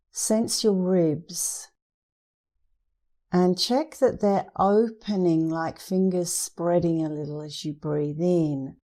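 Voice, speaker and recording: Slow, soft-toned woman guiding meditation. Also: on the low side. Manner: soothing; gentle, unhurried instruction with pauses. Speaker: meditation instructor. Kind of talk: guided meditation.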